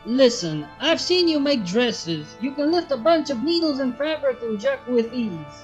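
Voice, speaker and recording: A woman's voice: high-pitched, very animated, normal speed, muffled recording, faint music behind, voice pushed up. A woman voicing a plucky, blunt country pony mare with a folksy, bossy way of talking. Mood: urgent, bossy.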